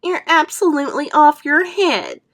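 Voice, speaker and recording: A woman voicing an indignant, stubborn male earthworm in a storybook, spluttering loud protests. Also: high-pitched, sing-song, unhurried, voice pushed up, exaggerated voice.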